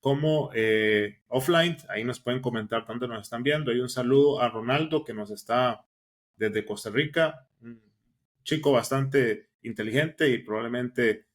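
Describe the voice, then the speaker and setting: Enthusiastic man hosting a tech podcast. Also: mid-range voice. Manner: warm welcoming, enthusiastic, fillers like "eh". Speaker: podcast host. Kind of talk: casual podcast chat.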